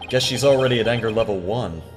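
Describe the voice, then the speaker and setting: A man's voice: medium pitch, fast talker, close to an everyday voice. Guy doing an exasperated young lawyer from a game fandub, sardonic, big reverb, music behind him. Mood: uneasy. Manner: wry, sardonic. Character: earnest, exasperated young male defense lawyer. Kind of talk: amateur video game fan dub. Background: loud game music.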